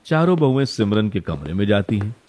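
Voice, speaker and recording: A man's voice: lowish voice, very animated, brisk, darkish tone, faint music behind. A man narrating a scripted family story as a storyteller.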